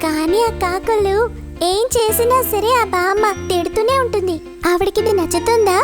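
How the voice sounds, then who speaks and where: A woman's voice: very high-pitched, normal speed, loud music behind the voice. A woman voicing a small, questioning, doubtful creature in a children's cartoon.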